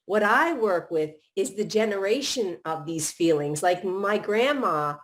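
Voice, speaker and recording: A woman's voice: mid-range voice, expressive, a little slow. A middle-aged woman hosting a live podcast, chatting casually in long run-on thoughts with many restarts. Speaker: podcast host.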